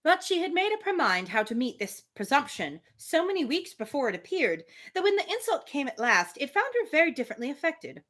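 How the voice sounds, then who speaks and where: A woman hosting a live reading of a novel, reading aloud and breaking off for casual asides in an animated way. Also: high voice, brisk, hardly any pauses. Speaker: host of live book readings.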